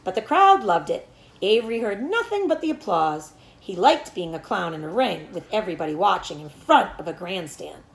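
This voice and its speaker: A woman reading a children's book aloud as a teacher, in an animated storytelling manner. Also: medium pitch, normal speed, roomy sound. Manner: animated storytelling with acted dialogue.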